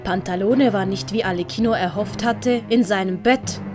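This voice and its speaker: Female narrator, medium pitch, scripted storytelling for an audio drama, music bed underneath. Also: normal speed, darkish tone.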